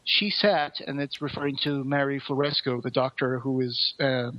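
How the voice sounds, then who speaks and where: A man's voice: medium pitch, fairly even intonation, normal speed. A man co-hosting a podcast, explaining things in detail in a casual discussion. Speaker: podcast co-host. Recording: roomy echo, dull muffled sound.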